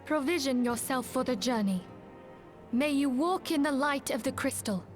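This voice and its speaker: A woman playing a composed, courteous leader in a fantasy video game, speaking formally and with measured, archaic phrasing. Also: high voice, lightly acted. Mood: composed, commanding. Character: composed, courteous female leader of an adventuring order. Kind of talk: scripted fantasy game acting. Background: faint music.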